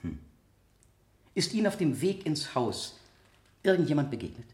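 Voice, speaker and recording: Male examiner, radio drama. Methodical, insistent, courteous, with animated pitch in a roomy acoustic. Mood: insistent, pressing. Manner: deliberate, insistent, formally polite questioning. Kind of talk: 1970 German radio play acting.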